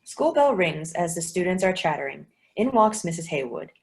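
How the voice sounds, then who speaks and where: A woman's voice: fairly low pitch, big pitch swings, normal speed, gravelly. Woman reading stage directions plainly.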